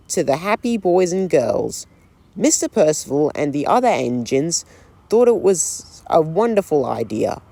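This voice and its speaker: A man narrating a railway storybook as a scripted read, in a slow, gentle storytelling manner. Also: sits high.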